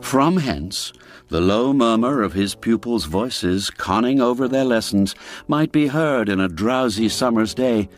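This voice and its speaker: A man narrating a classic literary story for radio, reading expressively and deliberately, drawing out key words for emphasis. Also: on the low side.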